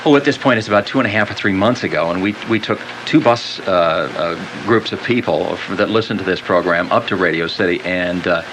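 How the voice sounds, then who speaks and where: Guy hosting 80s radio interview, lowish voice, halting and wordy, noisy cassette recording. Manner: wordy, halting, formal phrasing. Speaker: radio host. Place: radio studio. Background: clear background noise.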